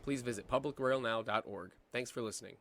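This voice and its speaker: A man hosting a podcast, reading a brisk scripted introduction. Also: mid-range voice, very noisy. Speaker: podcast host. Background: loud guitar music.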